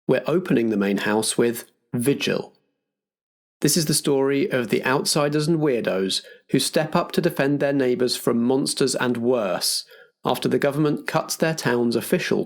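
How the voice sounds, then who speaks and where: Male game master and show host, mid-pitched with a touch of huskiness, presenting to an audience. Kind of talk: scripted programme introduction and game hosting.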